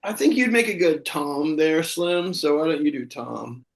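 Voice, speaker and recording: A man co-hosting a live comedy show, bantering casually with a deadpan, mock-earnest delivery. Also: a little high, moderate pace, vocal fry. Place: online video call. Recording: roomy, echoey sound.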